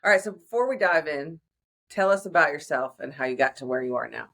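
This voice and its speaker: Low-voiced woman podcast host. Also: quick pace, gravelly, a bit bright. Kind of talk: podcast interview.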